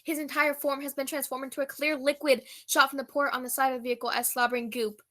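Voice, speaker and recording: A woman's voice: high voice, little pitch movement, quick pace, fairly bright tone. An actress playing a pompous, florid female war correspondent delivering a dramatic report in a radio comedy. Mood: grave, emphatic. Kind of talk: old-time radio news report parody.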